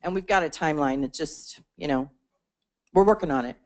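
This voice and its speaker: A woman's voice: deep voice, brisk, a little mellow. A woman presenting to a membership meeting, explaining a support portal conversationally, with fillers and restarts. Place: meeting room.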